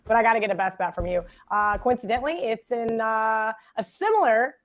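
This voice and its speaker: Raspy woman, fairly high, lively joking podcast host. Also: brisk. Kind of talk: sports betting podcast chat.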